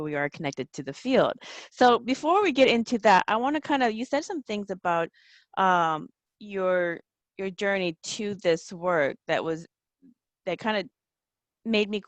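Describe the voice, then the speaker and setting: A woman's voice: medium pitch, no room sound. A woman hosting a conversational podcast interview, rambling a little and correcting herself as she goes. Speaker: podcast host.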